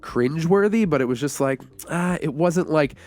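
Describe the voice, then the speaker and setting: A man's voice: fairly high pitch, animated, moderate pace, darkish tone, faint music behind. A man co-hosting a podcast, talking casually and with emphasis as he reviews a film. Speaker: podcast co-host reviewing films.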